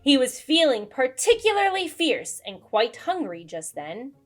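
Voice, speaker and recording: A woman reads a children's book aloud with dramatic expression. Her voice is high and unhurried, with faint music behind it. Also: big pitch swings. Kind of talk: expressive storybook read-aloud narration.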